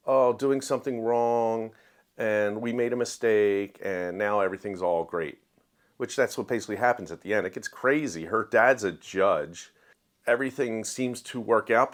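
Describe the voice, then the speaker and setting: Male book reviewer, somewhat low register, chatty and opinionated, emphatic delivery, plain everyday talk. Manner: conversational, acts out quoted dialogue in everyday voice. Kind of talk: casual opinionated review to viewers.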